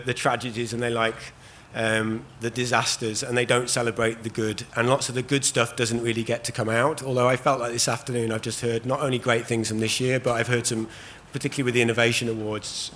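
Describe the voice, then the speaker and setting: A man, hoarse from losing his voice, hosts a live conference panel in a loose, chatty way with many ums and restarts. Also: mid-range voice, pushed and bright, slight background noise. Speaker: panel host. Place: conference stage at a trade show.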